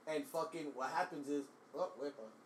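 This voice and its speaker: A man co-hosting a casual wrestling chat, telling scenarios in an animated, slangy, profane way. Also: on the high side, fairly quick, slight background noise, poor audio. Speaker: podcast co-host.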